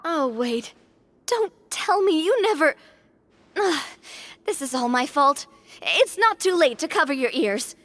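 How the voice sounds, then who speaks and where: A woman voicing a curious, playful young woman in a video game, expressive and teasing. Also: high voice, measured pace, full character voice. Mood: curious, flustered.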